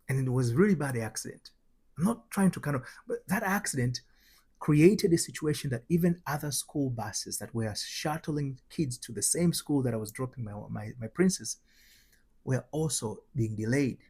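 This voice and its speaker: A man with a Tanzanian accent and a husky, slightly rough voice at a medium pitch. He speaks as a spiritual coach, repeating phrases for emphasis and posing rhetorical questions as he teaches through personal anecdotes. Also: lively intonation, normal speed, darkish tone. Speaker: host, spiritual transformation coach.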